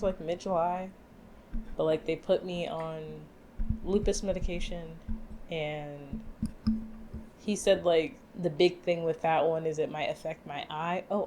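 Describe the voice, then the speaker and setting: Young woman podcaster, chatty, says like a lot, a bit low voice, faint hum behind. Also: mellow. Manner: chatty, many "like" fillers. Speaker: podcast co-host. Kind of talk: casual chat.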